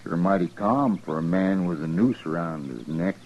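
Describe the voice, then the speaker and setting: A low-pitched American rancher of the old West, played by a male actor, grim yet neighborly. He talks in an unhurried, folksy rural drawl with a matter-of-fact firmness, in the style of a 1950s radio western. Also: a little mellow, lo-fi sound, a little hiss or hum, lightly acted. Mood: grimly calm.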